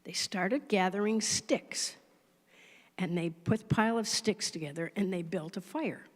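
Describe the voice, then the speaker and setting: Woman, church storyteller, animated, expressive, mid-pitched, long reverb of a sanctuary. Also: unhurried. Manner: animated, expressive, acting out voices. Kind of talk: storytelling for all ages.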